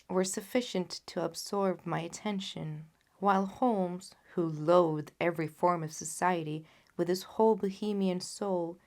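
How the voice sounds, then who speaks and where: A woman reading classic fiction aloud as scripted audiobook narration. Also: on the low side. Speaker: audiobook reader.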